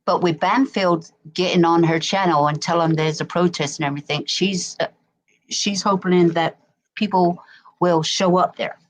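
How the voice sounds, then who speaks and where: A woman's voice: on the low side, fairly even intonation, moderate pace. A woman from Wisconsin, a guest in a casual chat. She is chatty and repeats herself.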